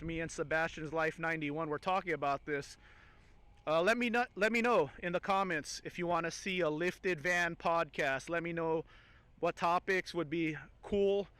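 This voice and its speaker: A man's voice: a little high, a bit bright, clean tone, no echo. A man chatting casually and enthusiastically as a vlogger, in an informal way. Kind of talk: casual chat to camera.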